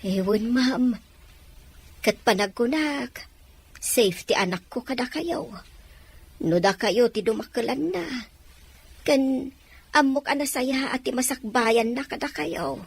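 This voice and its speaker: A woman's voice: on the high side, slow, creaky, long pauses, breathy edge, exaggerated voice. A woman playing a pleading, deferential mother in her forties in an Ilocano audio drama. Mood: earnest, deferential. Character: pleading 46-year-old mother giving up her baby.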